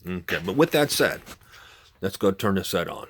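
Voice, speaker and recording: Male collector-presenter, low register, unscripted and explanatory, halting delivery, informal hands-on demo style. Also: lively intonation, a bit bright, slight background noise. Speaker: vintage radio collector and presenter. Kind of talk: informal demonstration talk to camera.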